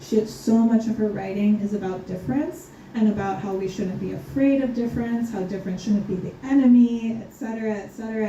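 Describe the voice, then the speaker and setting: A woman speaking thoughtfully on a panel, pausing often with ums and light laughs. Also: medium pitch, mellow, slight background noise. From United States. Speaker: scholar guest. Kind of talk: panel conversation. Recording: roomy, distant, slightly dull.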